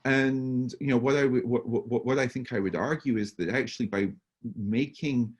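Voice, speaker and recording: A man with a hoarse, fading voice and a cough, answering workshop questions over a video call with many stammered restarts and fillers. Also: medium pitch, moderate pace. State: hoarse, losing his voice with a cough. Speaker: workshop presenter.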